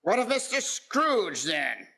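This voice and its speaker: Male actor as earnest, well-mannered gentleman seeking donations, radio drama, high-pitched, forceful bright tone. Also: sing-song, takes it slowly, full character voice. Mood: courteous, hopeful. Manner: courteous, formal, earnest. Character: polite, earnest gentleman collecting for church charity. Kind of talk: community theatre radio play acting.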